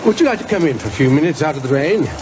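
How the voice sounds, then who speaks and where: An actor playing a nervous, bookish middle-aged professor in an audio horror drama, hesitant, stammering and polite. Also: male voice, on the high side, expressive, rapid-fire, background noise, close to an everyday voice. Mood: shaken, polite.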